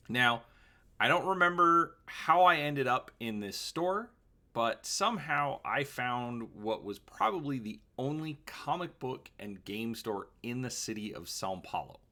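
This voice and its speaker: Male host, 40s, animated and bright, chatty show-and-tell delivery. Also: mid-range voice. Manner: chatty, with fillers and restarts. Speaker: host of a gaming channel. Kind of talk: casual show-and-tell talk.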